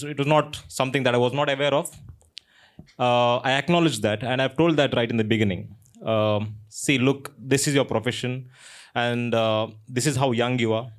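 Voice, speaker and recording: A man, a business owner on a panel, answers with wry, self-deprecating humor. His speech is soft and unhurried in a mid-range voice. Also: medium pitch. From India. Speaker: panelist, entrepreneur.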